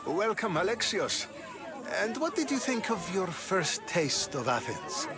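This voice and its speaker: A dignified statesman, lively intonation. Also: high voice, over music. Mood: gracious. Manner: measured, formal. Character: weary, dignified male statesman of ancient Athens. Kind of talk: video game dialogue acting.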